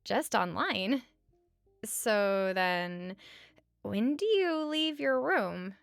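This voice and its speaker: A woman acts out a wry, caring female roommate in a comfort audio roleplay. Her voice has a strong gravelly fry and sits close and dry, with faint music underneath. She talks casually, teasing and pausing as if feeling her way. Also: mid-range voice, expressive, no room sound, exaggerated voice. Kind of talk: conversational comfort audio roleplay at regular speaking volume.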